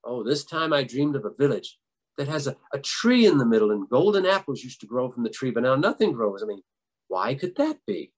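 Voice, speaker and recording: A man's voice: mid-range voice, expressive, fairly quick, poor audio. An old grandmother from a spoken folktale, acted out by a male storyteller. She is bossy and motherly, with a scolding, coaxing way of talking. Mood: feigned distress. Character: devil's grandmother, bossy yet soothing old woman.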